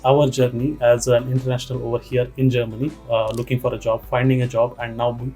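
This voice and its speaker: A man's voice: medium pitch, dark tone. A young man hosting a casual interview and asking questions with many fillers. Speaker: host.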